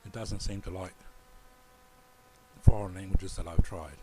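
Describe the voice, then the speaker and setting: New Zealand man with a low voice. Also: measured pace, background noise. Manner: relaxed, rambling, with restarts. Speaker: tutorial presenter. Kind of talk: casual screen-recorded tutorial.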